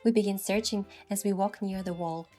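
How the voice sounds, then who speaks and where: A woman narrates a story in the first person from a script. Her voice sits at an average female pitch, with faint music underneath. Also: steady tone, fairly quick, mellow. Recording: music behind the voice.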